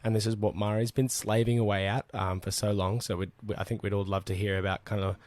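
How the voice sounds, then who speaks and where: A man hosting a casual online chat with artists, relaxed and rambling, with many fillers and restarts. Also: lowish voice, fast talker, dry recording. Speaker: host.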